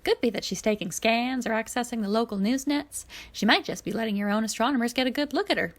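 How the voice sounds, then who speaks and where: A woman performs a chatty older alien man from an animated space adventure. He is chirpy and exclamatory, rambling along with cheerful, nostalgic enthusiasm. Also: on the high side, quick pace, pitched above the performer's own voice, close to an everyday voice. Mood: chatty, curious.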